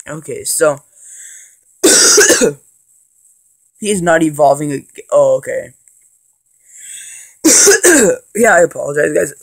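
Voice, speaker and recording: A man with a cold and a congested voice, rambling casually with lots of ums and excited bursts as he plays and narrates a handheld game. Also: a little high, slow, vocal fry, long pauses. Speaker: gaming channel host. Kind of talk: casual let's play commentary.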